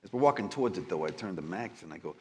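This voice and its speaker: A man's voice: low-pitched, expressive, rapid-fire, slight background noise. A man role-playing casually as a player at a live tabletop game, with a chatty, colloquial way of talking. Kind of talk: casual in-character role-play at a live show. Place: live stage at a gaming convention. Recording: echoey hall, live convention show.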